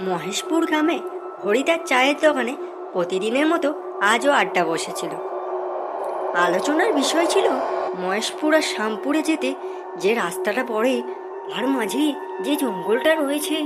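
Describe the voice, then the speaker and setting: A woman's voice: fairly high pitch, very animated, moderate pace, pauses often. A woman narrator telling a scripted children's cartoon tale. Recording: loud music behind the voice.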